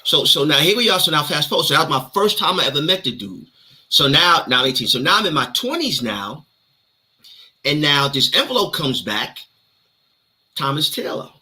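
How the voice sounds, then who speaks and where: Man, 30s, New York accent, streetwise storyteller, very bright and lively. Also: a little high, moderate pace. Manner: streetwise slang, animated retelling. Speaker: co-host telling his story. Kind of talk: casual storytelling interview.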